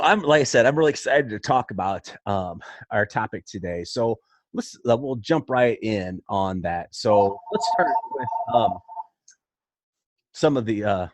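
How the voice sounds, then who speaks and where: A man's voice: fairly low pitch, no room sound. A man hosting a podcast, asking questions in a casual, conversational interview style. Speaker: podcast host.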